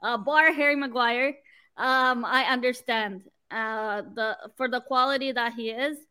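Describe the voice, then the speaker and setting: A woman's voice: on the high side, fairly even intonation, measured pace, sharp tone, clear, resonant tone. A woman from Canada, a guest on a football fan show, chatting casually about a match with many fillers and restarts.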